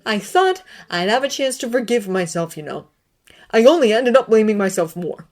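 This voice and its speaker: A woman's voice: lowish voice, expressive, takes it slowly. A woman voicing an anguished, bitter grown man, a brooding teacher, with intense pleading outbursts.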